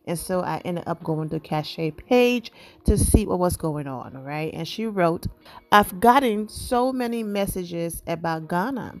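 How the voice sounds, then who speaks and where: A woman from Liberia with a Liberian accent, hosting a casual celebrity news vlog and talking to her viewers with emphatic asides. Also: fairly low pitch. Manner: chatty, emphatic asides with "okay?". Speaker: entertainment news vlogger. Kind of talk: casual gossip commentary.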